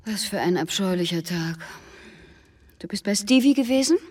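An actress playing a composed, watchful shopkeeper's wife in a German radio drama, measured and questioning. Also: female voice, medium pitch, animated, a little mellow, slightly rough, distant mic. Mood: probing.

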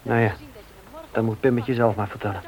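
A man's voice: fairly low pitch, flat delivery, brisk, darkish tone, natural voice. A man playing a hesitant, earnest family friend in a radio play. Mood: curious, concerned.

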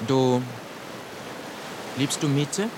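An actor playing a naive, despairing pet tomcat in a radio drama, plaintive and earnestly questioning. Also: male voice, medium pitch, extremely slow. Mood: despairing, lost. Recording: constant rain-like noise behind the voice.

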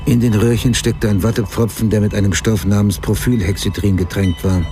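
An actor playing a haunted, hard-boiled writer, narrating his past in terse sentences in a radio drama with a gravelly voice. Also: on the low side, steady tone, a little fast, noisy background, lightly acted. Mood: matter-of-fact. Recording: music under the voice.

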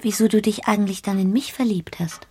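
Actress as Dora, breathy childlike young bride. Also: female voice, medium pitch, brisk, natural voice. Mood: playful, needy. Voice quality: breathy, soft. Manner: coy, girlish. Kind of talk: literary radio play acting.

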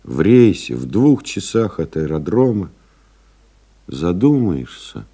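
Slow, deep-voiced contemplative male pilot. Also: big pitch swings, dark tone, husky, full character voice. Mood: reminiscing. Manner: measured, contemplative, deliberate phrasing. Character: reflective male French pilot recalling his flights. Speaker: actor narrating an audio play. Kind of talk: dramatic literary monologue, scripted narration.

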